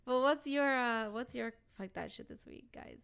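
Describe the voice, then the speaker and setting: A woman's voice: fairly high pitch, expressive, moderate pace, creaky, a bit bright, smooth. A woman co-hosting a casual podcast, blunt and swearing freely as she complains. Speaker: podcast co-host.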